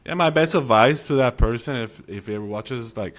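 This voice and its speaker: A man speaks English as a second language, his first being Spanish, at a middle pitch. He talks as an upbeat, encouraging guest and often drops "man" and "you know" into his sentences. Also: a little fast. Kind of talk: casual interview chat.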